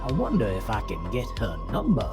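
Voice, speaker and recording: A man's voice: medium pitch, wildly swooping pitch, fairly quick, loud music behind the voice. A man playing a gleefully lecherous, ghoulish horror show host, delivering a campy monologue with theatrical relish. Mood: gleeful, leering.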